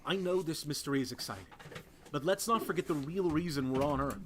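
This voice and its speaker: A man playing a steady fairy team leader in an audio drama, serious and instructive in manner. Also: fairly high pitch, fast talker, a little hiss or hum, lightly acted. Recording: loud music over the voice. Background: loud music.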